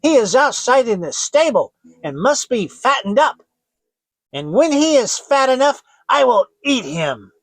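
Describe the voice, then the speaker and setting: A man's voice: very high-pitched, sing-song, unhurried, dry recording, pitched above the performer's own voice, full character voice, rough recording. A man voicing a wicked old witch woman in a storybook, thin-voiced, spiteful and falsely sweet. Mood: harsh, commanding. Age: older.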